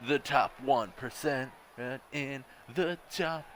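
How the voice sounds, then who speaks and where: A man hosting a gaming video with casual, rambling commentary, repeating himself and swinging between muttering and shouting. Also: mid-range voice, slow, slight background noise.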